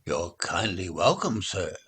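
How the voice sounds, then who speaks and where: A man voicing a pert young country milkmaid from a nursery rhyme, giving soft, short, polite replies. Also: low voice, big pitch swings, measured pace, husky, heavily acted. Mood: demure, pert.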